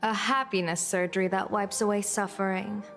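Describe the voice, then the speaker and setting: An actress voicing a composed, wry female general in a video game, with a measured, dry delivery. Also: medium pitch, steady tone, fairly bright tone, lightly acted. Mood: grave, composed. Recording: long reverb, music underneath.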